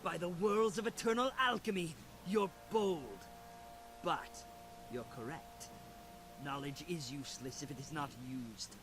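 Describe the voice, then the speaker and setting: A man's voice: fairly high pitch, a little slow, lightly acted. An actor playing a small, haughty male alchemist scholar in a video game, condescending and lecturing. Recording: game audio with background noise.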